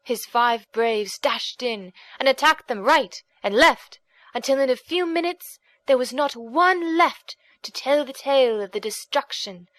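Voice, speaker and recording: A woman reading a folk tale as an audiobook narrator, in a measured, expressive, dramatic storytelling manner. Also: high voice, dry recording.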